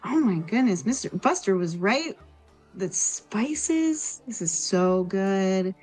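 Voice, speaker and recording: A woman's voice: medium pitch, expressive, slow, a little mellow, soft background music. A woman voicing an earnest, bossy but kindly female adventurer in a tabletop game, talking in an emphatic ramble. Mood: delighted.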